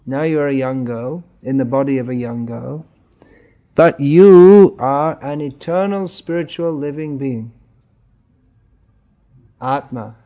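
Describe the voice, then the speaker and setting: Slow, mellow male spiritual teacher. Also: a little high. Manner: gentle, chuckling, questions the listeners. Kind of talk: informal spiritual talk.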